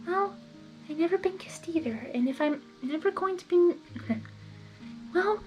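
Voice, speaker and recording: Casting: timid young girl pony, played by a woman reader, very high and soft, hesitant, storybook dialogue over music. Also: unhurried, lo-fi sound. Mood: shy, nervous. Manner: hesitant, trailing, gentle. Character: shy, soft-spoken young female pony, timid and yielding. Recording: background music under the voice.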